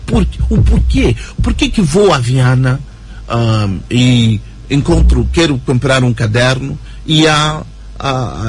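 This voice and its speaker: A writer and journalist as a radio interview guest, talking in an animated way with many fillers and tag questions. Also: male voice, medium pitch, breathy edge. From Angola. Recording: radio broadcast recording.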